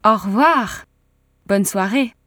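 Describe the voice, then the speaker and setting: A woman voicing a young female student in a slow, clearly spoken language-course dialogue. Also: mid-range voice, a bit bright, no echo, clean studio sound. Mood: polite. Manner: careful, clearly articulated. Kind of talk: slow scripted textbook dialogue.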